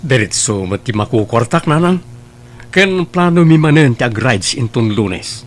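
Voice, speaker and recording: A man's voice: mid-range voice, expressive, normal speed, close to an everyday voice. A man with an Ilocano accent playing a carefree, womanizing young son and brother in an audio drama. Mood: nonchalant, evasive.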